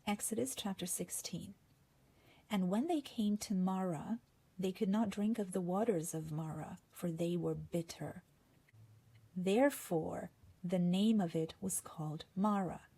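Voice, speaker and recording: A woman's voice: on the low side, gravelly, warm tone, slightly rough. A woman with a Hungarian accent giving an explanatory lesson, reading scripture passages and dropping to a whisper on key words. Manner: slow and deliberate, drops to whispers on key words. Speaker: language teacher.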